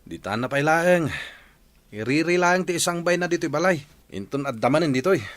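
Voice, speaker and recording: Man playing a lively, grumbling husband. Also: on the high side, fairly quick, a little hiss or hum. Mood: defensive. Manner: grumbling, argumentative. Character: put-upon, grumbling, defensive village husband. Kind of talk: comedy-drama radio acting.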